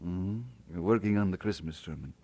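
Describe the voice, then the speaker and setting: Husky, deep-voiced earnest Scottish preacher. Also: male voice, normal speed, mellow. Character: earnest, modest Scottish preacher, a busy married minister. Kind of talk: audio drama acting.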